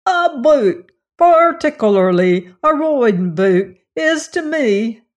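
A woman voicing a cheeky, theatrical teenage servant boy with a Cockney accent and flamboyant, drawn-out flourishes, in a story reading. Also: high-pitched, expressive, voice pushed up. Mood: rapturous.

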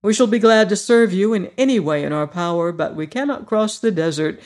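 A reader voicing a dignified, courteous monkey king who speaks in a formal, measured way. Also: mid-range voice, expressive, a little mellow, lightly acted.

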